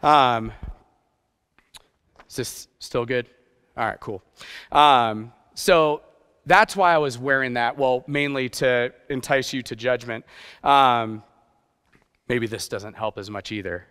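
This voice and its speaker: A man's voice: mid-range voice, slow pace, pauses often, a bit bright, clean tone. Man preaching informally in a reverberant hall. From grew up partly in Japan. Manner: self-deprecating, conversational, rhetorical questions. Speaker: guest preacher, youth pastor. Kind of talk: informal sermon. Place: church stage, indoors.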